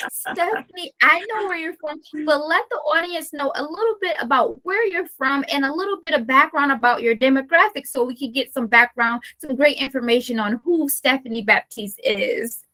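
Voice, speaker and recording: A woman's voice: high voice, moderate pace, sharp tone, rough recording. A woman hosting a faith-based interview over a video call, speaking with gushing enthusiasm. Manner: enthusiastic, gushing, praises God. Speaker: host of a faith-based women's show.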